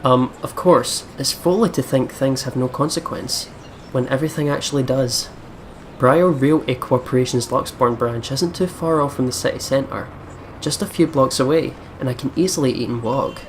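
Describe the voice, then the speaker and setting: Male let's play host: mid-range voice, reads game story aloud and comments, clear background noise. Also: medium pitch, normal speed.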